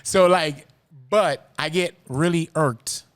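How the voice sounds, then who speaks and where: Earnest man, slightly high voice. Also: takes it slowly, fairly bright tone, no echo. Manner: conversational, earnest, repeats words when starting thoughts. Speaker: podcast host. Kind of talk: casual podcast chat. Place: Miami.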